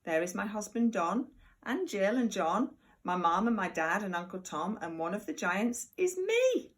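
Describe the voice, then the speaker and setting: A woman reading a picture book aloud to young children like a primary teacher, expressive and deliberate, with chatty asides. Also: medium pitch. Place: at home.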